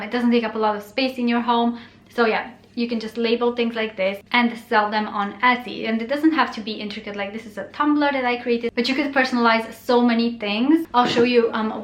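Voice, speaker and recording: A woman's voice: a little high, reverberant. A young woman whose first language is Romanian, talking casually to camera as a blogger, chatty and friendly with many fillers. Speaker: blogger and YouTuber. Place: bright bedroom, indoors.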